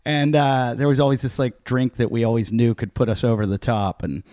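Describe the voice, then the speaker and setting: A man's voice: mid-range voice, fairly even intonation, brisk, darkish tone, no echo, clean studio sound. A middle-aged man from Pittsburgh hosting a podcast, telling self-mocking anecdotes in a loose, rambling way and acting out other people's lines. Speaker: podcast host, comedian. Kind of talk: casual storytelling chat.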